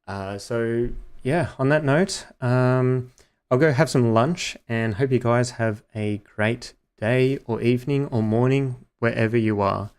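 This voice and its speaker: A young male gaming streamer talks to his live chat in a loose, rambling way, full of ums and uhs. His voice sits at a middling pitch with a smooth, resonant core, though it runs a little hoarse. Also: measured pace, darkish tone. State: slightly hoarse.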